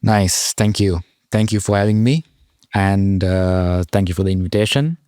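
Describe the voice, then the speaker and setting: A young man from Sri Lanka, a PhD student, talking as a podcast guest in a halting way with many fillers. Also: low voice, steady tone, a little mellow, clean tone, dry recording, studio quality. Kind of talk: podcast interview.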